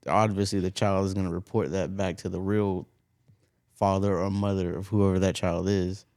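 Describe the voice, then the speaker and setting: A young man co-hosting a casual podcast, asking questions and explaining points in a steady, plain way. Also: low voice, moderate pace, clear, resonant tone, dry recording, clean studio sound. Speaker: podcast co-host. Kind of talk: casual interview chat. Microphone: close studio mic.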